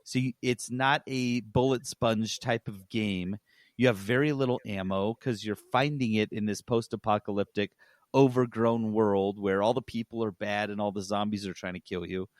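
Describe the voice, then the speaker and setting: A man's voice: fairly low pitch, steady tone, normal speed, no room sound, clean studio sound. A man co-hosting a podcast, giving a casual, rambling review and often correcting himself as he goes. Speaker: podcast co-host reviewing games.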